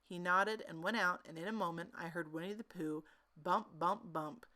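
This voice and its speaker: A woman narrating a children's story in an expressive, animated storytelling manner. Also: fairly low pitch, normal speed.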